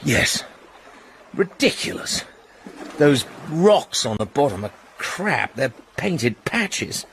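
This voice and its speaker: Spy, cool, shrewd, terse, medium pitch, radio thriller, clear engine noise behind. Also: male voice, a bit bright, full character voice. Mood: focused, dry. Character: cool, watchful British secret agent, measured and shrewd. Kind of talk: radio drama acting.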